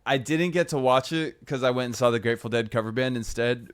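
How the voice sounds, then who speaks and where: Calm, hedging man on a podcast. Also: medium pitch, fast, smooth. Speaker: podcast co-host. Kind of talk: casual argument and sports chat with his brother.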